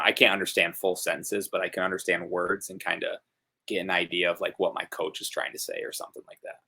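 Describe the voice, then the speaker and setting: A young man with a Northern US accent, a hockey player chatting casually on a video call, relaxed and rambling with many fillers. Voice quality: gravelly low voice. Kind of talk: casual interview chat. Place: home room, video call.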